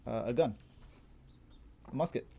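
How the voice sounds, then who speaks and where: A man in a casual chat, explaining history as a guest in an informal, digressive way, as if thinking out loud. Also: medium pitch, unhurried, vocal fry. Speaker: guest martial arts enthusiast explaining history.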